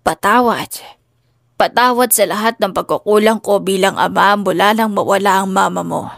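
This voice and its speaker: A woman voicing an ailing, gentle old father and grandfather in a tender, solemn way, in an audiobook drama. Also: mid-range voice, normal speed, gravelly, no echo, studio quality. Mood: weary, remorseful.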